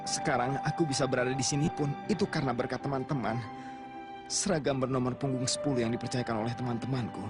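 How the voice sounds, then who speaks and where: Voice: medium pitch, fairly even intonation, quick pace, music in the background, natural voice. An actor voicing an earnest, determined young boy football captain in a dubbed anime, speaking resolutely. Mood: solemn, determined.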